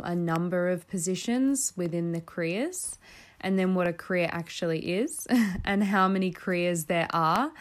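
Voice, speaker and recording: A woman with a slightly low voice speaks as a podcast host. She gives a casual, conversational introduction, and her delivery is earnest and effusive.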